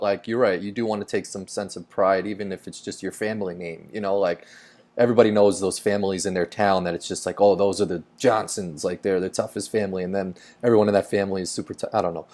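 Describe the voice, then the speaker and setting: A man with a fairly low voice hosts a relaxed conversation over a video call. He rambles loosely, stacking up "like" and "you know" as he thinks aloud. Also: brisk. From New York. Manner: rambling, heavy on "like" fillers. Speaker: host. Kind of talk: casual chat.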